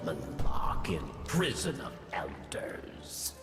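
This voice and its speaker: A grim alien hunter in a video game cutscene, speaking very slowly in clipped, cryptic phrases. The voice is low and swings widely, echoing under loud music. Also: male voice, wildly swooping pitch, heavily acted. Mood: grim, commanding. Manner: clipped, cryptic broken sentences. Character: grim, terse alien hunter giving orders.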